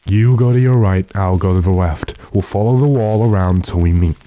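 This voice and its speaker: A man playing a stern, determined gentleman in a radio drama, speaking in a commanding, impatient way. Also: sits low, moderate pace, lightly acted. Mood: grim, resolute. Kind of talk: classic radio drama acting.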